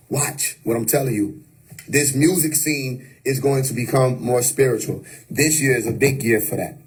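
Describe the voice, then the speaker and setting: Man, husky voice, preachy streamer monologue, emphatic. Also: mid-range voice, darkish tone, low-quality recording. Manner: preachy, emphatic, repeats "you know what I mean". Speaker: livestream host. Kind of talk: unscripted livestream monologue. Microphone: phone or webcam on a live stream.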